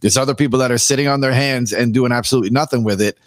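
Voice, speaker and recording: A man from Canada, a DJ guest on a podcast, talking with a raspy voice after long streams and telling stories with enthusiasm. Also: mid-range voice, racing, dry recording. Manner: enthusiastic, animated storytelling. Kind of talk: candid podcast conversation.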